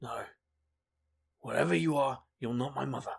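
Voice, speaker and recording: A man's voice: mid-range voice, normal speed, vocal fry, breathy edge. A man playing a wry, weary investigator for hire, dry and sardonic, in a comic audio drama. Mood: wary, sardonic.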